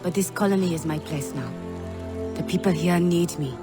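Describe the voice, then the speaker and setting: Sorrowful middle-aged mother, played by an actress: low, tender, formal, game drama, music behind. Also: moderate pace, close to an everyday voice. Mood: tender, resigned. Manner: solemn, formal phrasing. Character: tender, sorrowful long-absent mother, freed woman in exile. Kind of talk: dramatic video game cutscene acting. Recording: background music.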